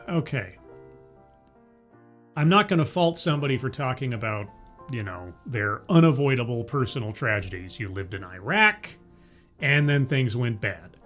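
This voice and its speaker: A man streaming live, mocking a talk he is watching with deadpan, stammering asides and greeting his chat. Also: medium pitch, unhurried, pauses often, soft background music. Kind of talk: sarcastic live commentary.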